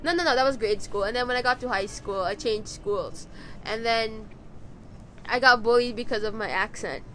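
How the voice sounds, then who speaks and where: A woman's voice: a little high, normal speed, sharp tone, slight background noise, low-quality recording. A young Filipino woman with a Filipino accent hosting a casual podcast chat, giggly and rambling, full of "like" fillers. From Philippines. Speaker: podcast host. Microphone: handheld microphone.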